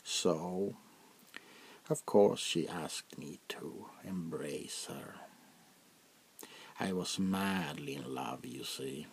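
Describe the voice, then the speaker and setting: Male actor as cold haughty vampire prince, ASMR roleplay, deep voice, extremely slow and deliberate, gravelly half-whisper, power-hungry menace. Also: full character voice. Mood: wistful, soft. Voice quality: gravelly, breathy, often dropping into whispers. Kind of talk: soft-spoken ASMR roleplay.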